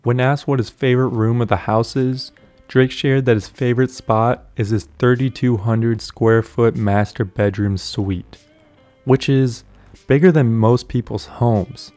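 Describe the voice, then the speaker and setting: Dark-toned man narrating a house tour. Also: medium pitch, fairly even intonation, unhurried, no room sound, faint music behind. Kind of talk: scripted voice-over narration.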